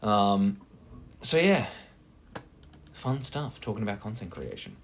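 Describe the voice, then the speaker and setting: A man's voice: fairly low pitch, very animated, takes it slowly, a little hiss or hum. A young Australian man rambling casually over his gameplay, with many fillers and self-mocking asides. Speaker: gaming channel host.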